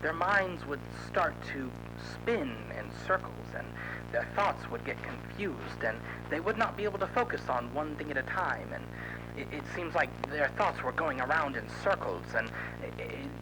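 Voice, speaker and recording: Man playing high-pitched, halting, looping horror researcher. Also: animated, background noise, close to an everyday voice. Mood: uneasy, halting. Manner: halting, repetitive, looping phrases. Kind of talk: analog horror monologue.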